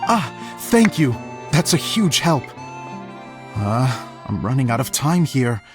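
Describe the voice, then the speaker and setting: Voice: mid-range voice, sing-song, takes it slowly, over music. An actor playing an earnest, dramatic amateur stage director, humble and slightly flustered, in an anime video game. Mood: earnest, uneasy. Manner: theatrical, earnest, hesitant when embarrassed.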